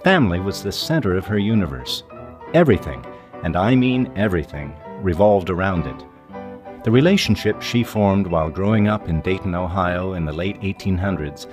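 An older man hosting a podcast, giving scripted documentary narration in a measured, emphatic storytelling manner. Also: sits low, animated. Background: music.

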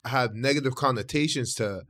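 A man's voice: medium pitch, brisk, fairly bright tone. A man with a mid-range voice co-hosts the podcast. He cuts into the conversation to challenge points with short, pointed questions. His tone stays casual throughout.

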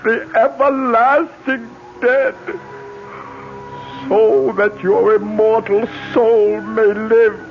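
An older voodoo sorceress, solemn and vengeful. She speaks slowly, with incantatory weight, in radio-play style. The old recording is dull, with music behind her. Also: fairly high pitch, steady tone. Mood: incantatory, pleading. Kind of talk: old radio drama acting.

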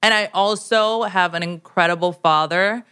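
A woman from Miami with a Miami accent co-hosting a podcast, chatting playfully with quick interjections. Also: on the low side, bright, forceful tone, clean tone. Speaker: podcast co-host. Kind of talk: casual podcast chat.

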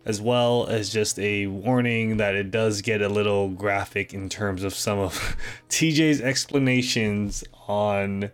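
A man hosting a podcast, gently introducing the next guest. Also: fairly low pitch, steady tone, takes it slowly, clear, resonant tone. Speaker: podcast host.

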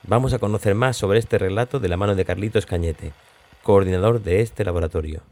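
A man's voice: deep voice, a little fast, a little mellow. A man reading a scripted introduction as an announcer.

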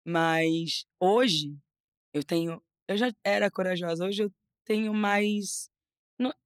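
Young woman, Carioca accent, lower voice, dry close sound, casual guest chat. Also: takes it slowly, clear, resonant tone, dry recording. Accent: Carioca Portuguese. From Rio de Janeiro, Brazil. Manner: animated, restarts and repeats words, says "sabe?" often. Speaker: model and influencer, podcast guest.

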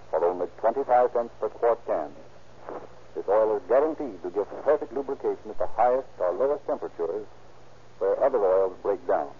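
A man narrating and announcing in a formal, declarative 1930s radio style. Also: fairly low pitch. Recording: tinny, noisy 1930s radio recording.

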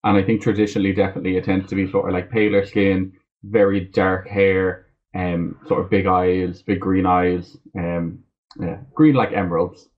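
A man's voice: low-pitched, normal speed. A young Irish man, a pharmacy student, chatting casually as a guest over a video call. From Ireland. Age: young adult. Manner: relaxed, rambling with fillers. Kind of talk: casual interview chat. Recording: muffled phone-like call audio.

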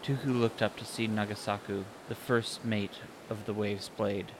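A man's voice: fairly low pitch, level tone, moderate pace. A man narrating a scripted fantasy audio story, with ambient sound design behind him. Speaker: narrator and author. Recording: clear background noise, ambient sound design. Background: ambient sound effects.

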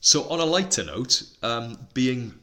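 Bright-voiced man, chatty podcaster. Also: mid-range voice, very animated, unhurried. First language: Welsh. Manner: chatty, enthusiastic, reeling off facts and figures. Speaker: podcast co-host. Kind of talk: casual podcast chat.

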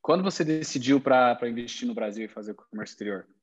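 A man's voice: mid-range voice, quick pace, highs cut off. A man interviewing a guest, asking questions and supplying words the guest is missing. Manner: prompting, helps with missing words.

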